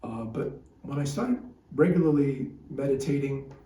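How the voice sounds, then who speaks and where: A man in his seventies hosting a reflective podcast, talking in an unhurried, hesitant way. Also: medium pitch, mellow, roomy sound, slight background noise, low-quality recording. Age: 70s. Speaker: podcast host, retired psychotherapist. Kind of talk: reflective personal podcast talk.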